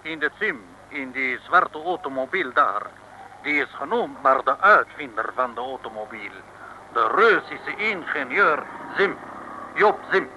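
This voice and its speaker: An actor playing a stern, pompous Soviet commissar in an old radio serial, speaking formally and giving orders. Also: male voice, mid-range voice, expressive, measured pace, bright, forceful tone. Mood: smug, instructive. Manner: commanding, formal, propagandizing. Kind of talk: 1950s-60s radio serial acting. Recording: noisy old radio recording.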